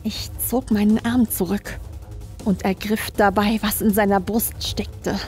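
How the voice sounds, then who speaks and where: A woman's voice: medium pitch, steady tone, unhurried, darkish tone. A woman reading scripted first-person horror narration as a creepypasta narrator. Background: background music.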